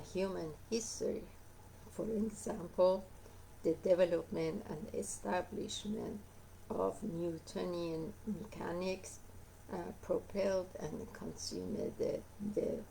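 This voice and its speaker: Slow, gravelly, hoarse woman reading aloud. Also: medium pitch, warm tone, a little hiss or hum, low-quality recording. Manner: halting reading with frequent uh fillers and self-corrections. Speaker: book reader. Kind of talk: reading a nonfiction book aloud.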